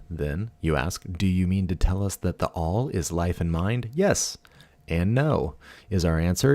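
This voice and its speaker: Deep-voiced man reading philosophy aloud. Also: darkish tone, no echo. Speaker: audiobook reader. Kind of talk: expressive read-aloud of a philosophical text.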